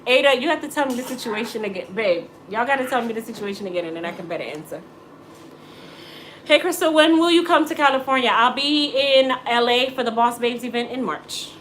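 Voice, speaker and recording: A woman's voice: a little high, lively intonation, brisk, a bit bright, a little hiss or hum. A middle-aged American woman, a hairstylist, chatting casually with live-stream viewers, joking and teasing as she answers. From United States. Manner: joking, teasing, laughs often.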